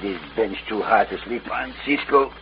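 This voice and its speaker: An actor playing a bumbling, loyal comic sidekick with a broad Mexican accent and broken English in an old-time radio western. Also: male voice, lowish voice, moderate pace, close to an everyday voice. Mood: protesting. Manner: broken English, calls himself by name. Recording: muffled, very noisy old radio recording.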